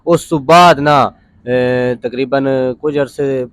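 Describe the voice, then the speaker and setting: A man's voice: medium pitch, steady tone, slow pace, fairly bright tone, clear, resonant tone. A man from Pakistan with a Punjabi accent, telling his story casually as an interview guest in a rambling, plain-spoken way.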